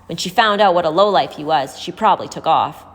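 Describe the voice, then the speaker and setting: A woman's voice: lowish voice, brisk, natural voice. Streetwise homeless young man for a read-aloud woman narrator playing him: urgent, wary, plain-spoken, long reverb. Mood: agitated. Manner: blunt, warning, urgent. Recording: hall-like reverb.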